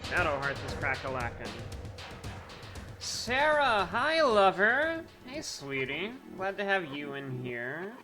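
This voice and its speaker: A man's voice: sits high, huge pitch swings, takes it slowly. A man chatting casually as a live streamer, with a playful, affectionate, slangy manner. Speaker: live streamer and hobby voice actor. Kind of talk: casual livestream chat. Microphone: streaming microphone. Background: game music.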